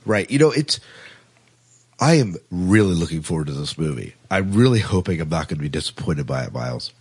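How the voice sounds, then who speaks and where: Deep-voiced man chatting on a podcast. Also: sing-song, a little fast, breathy edge. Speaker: podcast co-host. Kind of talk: casual sci-fi news podcast chat.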